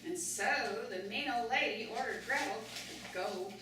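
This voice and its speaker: A woman tells a storybook tale for children as a live puppet show narrator. Her voice is a little high. It comes from a distant mic in a very echoey room with clear background noise. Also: normal speed.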